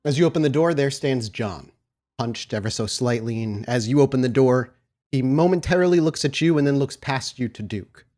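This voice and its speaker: A man narrating a tabletop roleplaying game as game master, setting scenes descriptively and somewhat haltingly. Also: mid-range voice, a little mellow.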